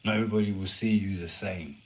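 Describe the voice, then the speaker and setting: Deep-voiced man chatting on stream. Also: fairly even intonation, fast. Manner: rambling, chatty, swears casually. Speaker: livestreamer. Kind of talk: casual live stream chat.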